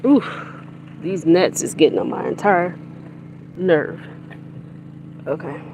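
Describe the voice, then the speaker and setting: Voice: mid-range voice, slow, a little mellow, poor audio. Adult teacher, casual phone livestream, rambling chatty talk, outdoor yard, running generator noise behind. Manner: rambling and chatty, with many restarts. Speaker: teacher of young children. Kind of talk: casual livestream chat while gardening. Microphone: handheld phone livestream. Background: generator hum and vehicle noise.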